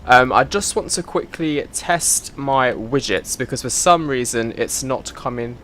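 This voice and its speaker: A man's voice: mid-range voice, unhurried, heavy background noise. A man, chatting casually on a live stream, greeting viewers by name while sorting out his setup. He has a slight cough and sounds a little bunged up. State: slightly congested, a bit of a cough. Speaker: flight sim streamer.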